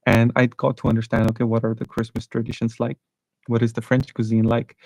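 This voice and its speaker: Young guy on a live stream rambling through a travel story, mid-pitch voice, dry close sound. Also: monotone, fairly quick, a little mellow, dry recording. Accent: neutral international English, worked on. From South Asia. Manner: rambling, many restarts and fillers. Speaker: channel host.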